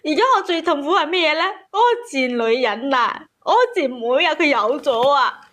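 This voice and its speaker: Female caller, very high voice, indignant and worked up, emotional storytelling on a talk show. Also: expressive, fairly quick, a bit bright. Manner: agitated, indignant, repeats words for emphasis. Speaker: caller telling a family grievance.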